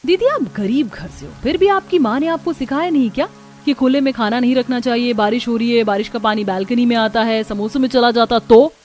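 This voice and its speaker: A woman's voice: a little high, brisk, a little mellow, natural voice. A woman voicing a spoiled, entitled young rich bride in a scripted cartoon, with a bossy manner. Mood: haughty.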